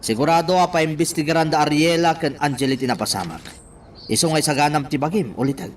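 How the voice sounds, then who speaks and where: An actor with a Filipino accent playing a smug, persuasive schemer in a radio drama. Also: male voice, on the high side, fast, slight background noise, natural voice. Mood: scheming. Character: smug, scheming man directing a staged kidnapping.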